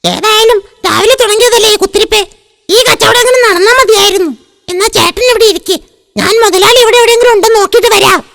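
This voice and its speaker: A performer voicing an eager young boy hawking goods in a cartoon, with a very high voice. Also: fast talker, creaky, fairly bright tone, dry recording, exaggerated voice. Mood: businesslike. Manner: chanting repetitive sales cries. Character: eager young boy street hawker, shrill and pleading. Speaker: shop assistant calling out a clothing sale. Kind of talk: cartoon voice acting.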